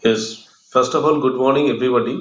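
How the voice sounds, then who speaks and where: A man with an Indian accent, a neurologist guest explaining medical matters in a halting, repetitive, teacherly way. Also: mid-range voice, steady tone, a bit bright. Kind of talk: explaining medical topic in interview. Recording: dull, echoey remote call audio.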